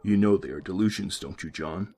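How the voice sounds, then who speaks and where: A man voicing an older male asylum doctor who speaks in a slow, consoling, condescending way. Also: sits low, darkish tone. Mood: patronizing.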